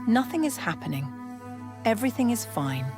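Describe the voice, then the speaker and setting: A gracious noblewoman of a period audio drama as a woman narrator acts her out, pitched lightly upward. She chooses every word with care and keeps her guard up. She is gentle, yet leaves no room for argument. Music plays beneath her. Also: unhurried. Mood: gently final. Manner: careful, steady, final.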